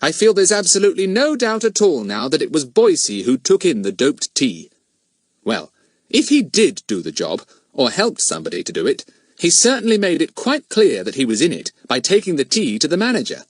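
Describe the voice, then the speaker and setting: A man voicing a confident, self-pleased schoolboy leader in a children's storybook, brisk and instructive. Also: a little high, wildly swooping pitch, no echo, clean studio sound, voice pushed up. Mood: explaining.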